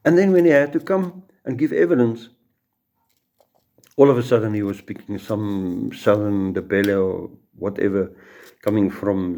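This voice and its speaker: A man's voice: mid-range voice, warm tone. A middle-aged man with a South African Afrikaans accent, a judge giving an informal law lecture full of courtroom stories and restarts. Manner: storytelling, repeats words and restarts.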